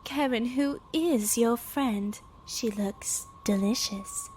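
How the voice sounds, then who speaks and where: A woman's voice: fairly high pitch, slow, a little mellow, poor audio. A woman playing a sadistic, imperious female weather mage in an audio drama, taunting her prey. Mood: taunting, predatory. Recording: clear background noise.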